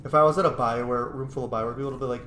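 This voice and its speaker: A man's voice: mid-range voice, steady tone, racing, pushed and bright. Man rambling through game commentary, roomy echo. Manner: playful, swearing, rambling asides. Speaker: let's play streamer. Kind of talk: casual gameplay commentary. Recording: roomy echo, faint background noise.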